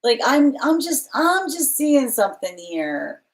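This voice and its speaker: A woman's voice: high voice, big pitch swings, unhurried. A woman giving a live-streamed tarot reading, thinking aloud, reading viewer comments out and often restarting her phrases. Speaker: tarot reader.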